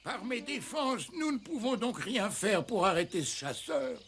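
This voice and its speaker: An actor voicing a pompous, courtly old elephant gentleman with florid delivery in a French cartoon. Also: mid-range voice, lively intonation, unhurried, creaky, a little hiss or hum. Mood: resolute. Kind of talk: French-dubbed cartoon acting. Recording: loud music behind the voice.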